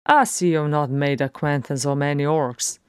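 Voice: mid-range voice, moderate pace, darkish tone, clear, resonant tone, no room sound, lightly acted. An irreverent dwarf warrior, as a storybook narrator plays him, breezy and sardonic. He speaks up boldly in a colloquial swagger, in a dry, close recording. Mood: wry. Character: irreverent, wry male dwarf warrior, loud and cocky.

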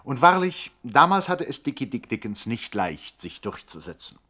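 A man with a medium-pitched voice narrates a radio drama. His delivery is scripted and declamatory, treating everything with mock-epic solemnity. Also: big pitch swings, moderate pace, bright, forceful tone.

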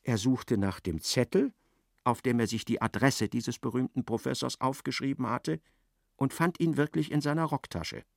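An actor narrating a literary radio play, an expressive and ironic storyteller. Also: male voice, medium pitch, normal speed, gravelly, no room sound, clean studio sound. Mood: wry narration. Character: wry literary narrator of a novel, male.

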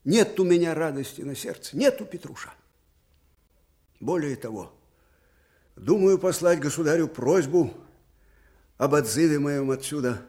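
A man's voice: on the high side, animated, measured pace, long pauses, mellow. An older man playing an ageing, fatherly Russian field marshal with old-fashioned folksy speech in a theatrical radio drama. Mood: weary, sorrowful. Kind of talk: Soviet radio drama acting.

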